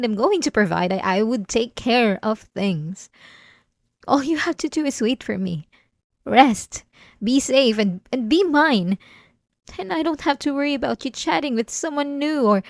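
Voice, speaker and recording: Casting: woman to play delusional, possessive wife for ASMR drama. Higher voice, unhurried halting delivery, sweetly menacing. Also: no echo, clean studio sound. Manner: halting, repeating words, coaxing and manipulative. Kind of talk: intimate ASMR roleplay monologue.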